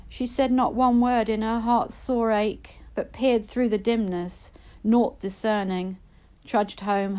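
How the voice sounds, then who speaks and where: Woman reading an old story poem out loud, takes it slow, expressive, dramatic phrasing. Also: a little high, clear, resonant tone. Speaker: poetry reader. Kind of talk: dramatic reading of narrative verse.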